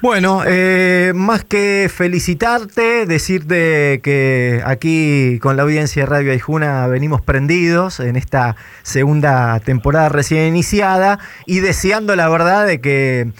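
A radio interviewer, a man with an Argentine accent, speaks conversationally. His voice is a little higher than average for a man. The sound is very dry, with no room echo. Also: measured pace, few pauses, clear, resonant tone.

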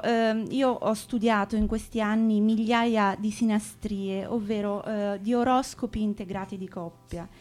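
A woman's voice: mid-range voice, darkish tone, lightly acted. Woman as resident astrologer, radio sketch, solemn cosmic advice, hesitant, mid-range voice.

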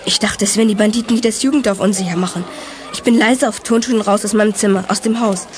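A woman's voice: medium pitch, steady tone, fast talker, a little mellow, slight background noise, lightly acted. A woman playing a tough, impulsive teenage boy in a children's radio play, earnestly telling his story in short sentences. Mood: urgent.

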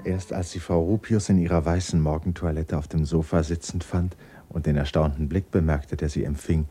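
An actor as a grave, intimate storyteller, narrating a literary radio play in a measured, hushed way. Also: male voice, extremely low pitch, fairly even intonation, soft background music.

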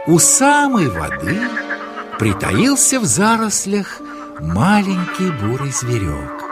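Male storyteller for children's tales, theatrical, extremely swooping pitch, unhurried, music clearly behind. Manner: dramatic, theatrical delivery. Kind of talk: expressive children's story narration.

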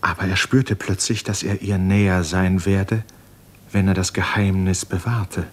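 A man narrating a literary 1950s radio drama in a grave, measured storytelling manner. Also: low voice, level tone.

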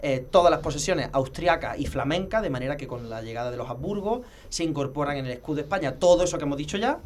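Brief: man, rattles off a quick, lecturing explanation, voice sitting a bit high, stopping and restarting as he thinks. Faint hiss in the background. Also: expressive. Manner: lecturing, many filler pauses and restarts. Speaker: heraldry expert guest. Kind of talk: casual explanatory talk.

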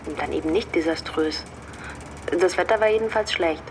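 An actress playing a wistful, gently needling girlfriend in a radio drama, speaking in a reflective, conversational way. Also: lowish voice, normal speed, a little hiss or hum, natural voice. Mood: teasing. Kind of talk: naturalistic radio drama dialogue.

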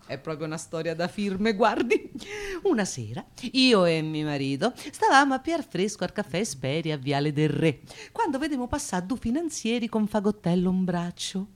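Actress playing an animated, chatty Roman aunt. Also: female voice, medium pitch, lightly acted. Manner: voluble, colloquial, dramatic, exclamatory. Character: chatty working-class aunt, brash, boastful storyteller. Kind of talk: Italian radio drama acting.

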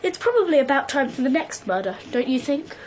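Radio play: knowing young girl detective, precocious and matter-of-fact, high-pitched, light background noise. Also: big pitch swings, fairly quick, darkish tone, poor audio. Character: Josephine, precocious, morbid, cool little girl detective. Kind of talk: radio drama acting.

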